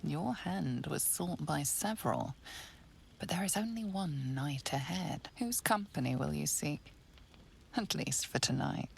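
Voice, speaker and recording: A solemn fantasy game storyteller, with a very low voice full of heavy creak. The narration is intimate, hushed and portentous. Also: female voice, expressive, measured pace, slight background noise, full character voice. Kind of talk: scripted second-person narration.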